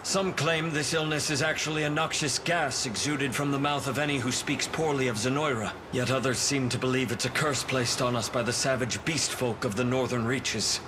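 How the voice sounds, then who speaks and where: An actor playing a dutiful military officer in a fantasy game, reporting formally and deferentially. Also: male voice, mid-range voice, steady tone, moderate pace, few pauses, noisy background, close to an everyday voice. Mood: formal, sober. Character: dutiful male officer reporting to his commander. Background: music.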